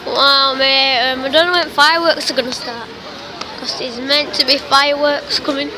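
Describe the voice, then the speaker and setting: A woman with a slightly croaky voice, chattering excitedly as she vlogs with a handheld phone at an outdoor bonfire, repeating exclamations and calling people mate. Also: high-pitched, normal speed. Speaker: vlogger. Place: outdoor bonfire event with a big crowd. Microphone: handheld phone camera. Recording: outdoor phone audio with crowd and noise. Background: crowd chatter and outdoor noise.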